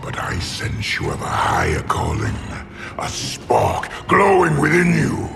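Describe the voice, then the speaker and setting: A man voicing a wrathful alien warlord in a video game, growling and gravelly, with grandiose, menacing declamation. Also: mid-range voice, huge pitch swings, measured pace, music in the background, exaggerated voice. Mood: menacing, rising rage. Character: wrathful alien warlord consumed by rage and vengeance.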